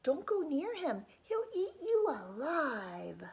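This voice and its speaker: Woman acting excitable high-pitched storybook villagers. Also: slow pace, exaggerated voice. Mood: alarmed. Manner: high, urgent calling out. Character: panicked shouting townspeople, excitable and loud.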